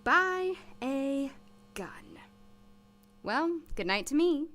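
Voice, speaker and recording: Actress as impatient young woman, very high pitch, animated, bright sharp voice, sardonic, audio drama. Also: extremely slow, exaggerated voice. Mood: weary, grumbling. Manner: expressive, muttering to herself.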